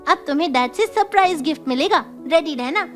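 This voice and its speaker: A woman's voice: high voice, expressive, brisk. A woman voicing a chirpy, confident, bossy little girl in an audio drama. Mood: proud, teasing. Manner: bubbly, confident. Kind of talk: Hindi audio drama acting. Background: music.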